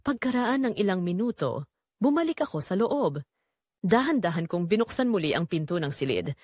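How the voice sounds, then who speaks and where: A betrayed middle-aged wife and mother, acted by a woman, narrates her own story for an audio drama. Her pitch moves widely through short, deliberate phrases, solemn and quietly bitter. The sound is dry, with very little room in it. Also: big pitch swings, no echo. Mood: numb, heartbroken.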